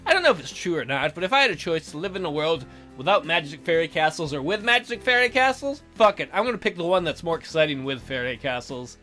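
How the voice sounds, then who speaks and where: A man's voice: fairly high pitch, very animated, quick pace, few pauses, pushed and bright, faint music behind. A man reading a game's story aloud and adding sarcastic, sweary asides as commentary. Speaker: let's player. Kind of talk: joking game commentary with read-aloud narration.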